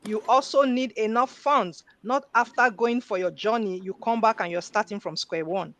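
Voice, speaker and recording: A woman's voice: a little high, normal speed, a bit bright. Earnest middle-aged woman telling her story. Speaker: guest. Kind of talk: personal story in interview.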